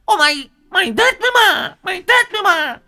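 A man's voice: very high-pitched, sing-song, a little fast, sharp tone, soft background music, pitched above the performer's own voice, exaggerated voice. A man voicing a jealous, hot-tempered village woman who screams threats while swinging a hammer. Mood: furious. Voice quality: shrieking.

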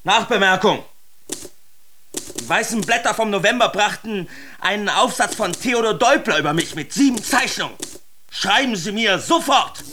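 An actor playing a brisk male letter-writer adding postscripts in a radio drama. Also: high-pitched, lively intonation, bright, forceful tone. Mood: brisk, excited. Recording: noisy, with clicking typewriter.

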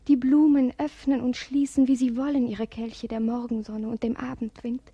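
An actress playing a young princess in a 1950s radio play, dreamy and lyrical, often sinking to a whisper. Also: female voice, on the high side, little pitch movement, normal speed, warm tone, husky, no room sound, muffled recording. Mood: anguished. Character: melancholy young princess fleeing an arranged marriage.

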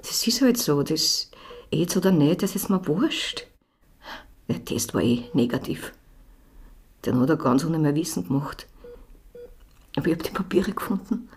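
An actress in a radio drama playing a troubled, devoted wife and mother under questioning, with an Austrian accent and a breaking, halting delivery. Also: low voice, animated, darkish tone, a little hiss or hum. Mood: defiant, devoted. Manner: halting, repetitive, emotionally fragile. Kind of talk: naturalistic radio drama acting.

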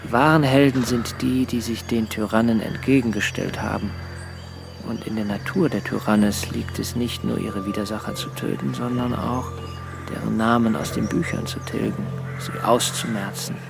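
An actor playing a proud, austere revolutionary leader in a radio drama, speaking in a measured, formal, lecturing way. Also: male voice, lowish voice, steady tone, creaky, music in the background. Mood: earnest lecturing. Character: proud, austere revolutionary leader, self-effacing yet steely.

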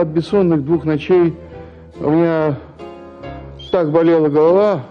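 A man's voice: a little high, lightly acted. An actor playing a doting, henpecked husband who coos, apologizes and frets as he narrates. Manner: cooing, apologetic, anxious. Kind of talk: radio play acting and narration. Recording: dull lo-fi with faint music.

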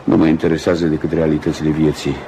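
An actor playing a brooding, cynical scientist in a Romanian radio drama, speaking bluntly and dismissively. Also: male voice, a bass voice, little pitch movement. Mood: cold, dismissive. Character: brooding, cynical male biologist, hard-drinking and rational. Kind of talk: Romanian radio theatre acting. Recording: noisy old radio drama recording.

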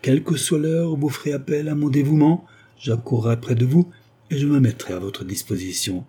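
A man's voice: medium pitch, brisk, warm tone, husky, voice pushed up, close to an everyday voice. A man reading aloud, voicing a devoted young male lawyer who speaks in an earnest, pleading way.

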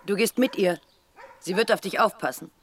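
A woman's voice: mid-range voice, moderate pace, a little hiss or hum, natural voice. An actress playing a poor, blunt, hard-bitten village mother in a German radio drama, in standard German. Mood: coaxing.

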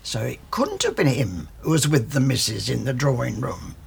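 An actress playing a blunt, gossipy older British female servant in a radio drama, with a raspy voice and folksy, animated delivery. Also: a bass voice, moderate pace, heavily acted. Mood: gossipy, emphatic. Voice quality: raspy, creaky. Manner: animated storytelling in folksy working-class speech.

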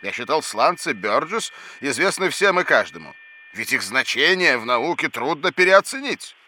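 Fussy, bright-voiced professor, animated and pompous. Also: male voice, mid-range voice, moderate pace, slight background noise. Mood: pompous, lecturing. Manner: theatrical, exasperated, pompous. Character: fussy, indignant, absent-minded Greek palaeontology professor. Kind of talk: children's educational audio drama acting.

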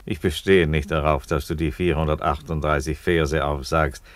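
An actor in a German radio play as an unhurried, ironic Roman emperor, speaking in a dry, deadpan way. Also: male voice, rumbling low, dry recording. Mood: mildly put out.

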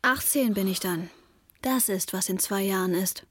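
A woman's voice: medium pitch, normal speed, husky, lightly acted. A woman playing a sixteen-year-old artistic daughter in a German radio drama, dry, matter-of-fact and colloquial as she talks and narrates. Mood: hesitant, earnest.

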